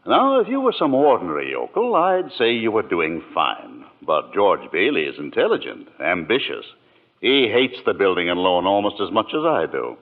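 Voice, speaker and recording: An actor playing a scheming, condescending rich old banker, speaking with oily deliberation in old-time radio drama. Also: male voice, sits low, wildly swooping pitch, moderate pace, phone-quality sound, full character voice. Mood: smooth, calculating. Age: older. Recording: band-limited old radio broadcast recording.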